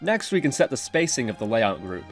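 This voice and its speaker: A man narrating a software tutorial in an instructional, step-by-step manner. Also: medium pitch, sing-song, a little fast, faint music behind. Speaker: tutorial presenter.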